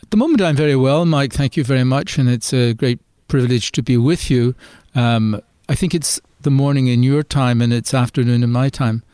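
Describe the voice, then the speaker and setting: An older man with an Irish accent, a Jesuit priest answering interview questions in a thoughtful, hesitant way with many pauses. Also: medium pitch, mellow, clean tone, dry recording, clean studio sound. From Ireland. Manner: hesitant, reflective, many fillers. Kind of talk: interview conversation.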